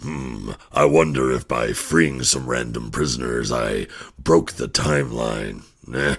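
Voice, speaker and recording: An actor playing a gruff, deadpan outlaw time traveler with a gravelly, husky, laconic delivery in a fan audio drama. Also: male voice, extremely low pitch, slow, heavily acted.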